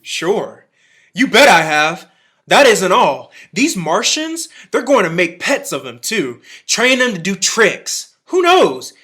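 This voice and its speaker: Male actor as embittered militiaman, radio drama, forceful bright voice, fairly high pitch, clipped folksy phrases, zealous ranting. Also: sing-song, takes it slowly, heavily acted. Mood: fervent, scheming.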